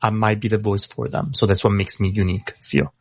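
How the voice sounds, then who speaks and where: Man, Italian first language, young adult, deep voice, halting speech, video call audio. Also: fairly even intonation, no room sound. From Italy. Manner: halting, many fillers and restarts. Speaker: rapper guest. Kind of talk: casual interview over video call.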